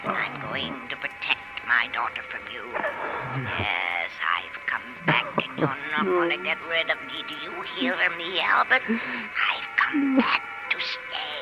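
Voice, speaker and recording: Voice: medium pitch, lively intonation, loud music behind the voice, low-quality recording. A performer playing a vengeful, taunting mother-in-law haunting a nightmare in old-time radio drama style. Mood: taunting, menacing. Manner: drawn-out, taunting, threatening.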